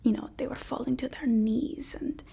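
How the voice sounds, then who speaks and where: A woman's voice: high voice, moderate pace, creaky, husky, heavily acted. Whispering, fawning villain's assistant played by a woman. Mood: soothing, flattering. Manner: deferential, flattering, hesitant, soft-spoken. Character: fawning, nervous female personal assistant to a super villain. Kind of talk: ASMR roleplay.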